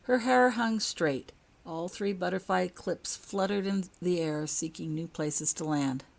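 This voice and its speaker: A woman's voice: on the low side, measured pace, vocal fry. A woman narrating a scripted story as an audiobook, reading her own fiction.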